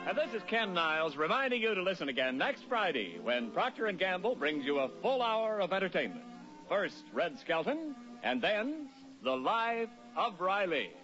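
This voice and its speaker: A man's voice: high-pitched, very animated, moderate pace, over music. A man announcing in a scripted old-time radio style. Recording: muffled old radio recording.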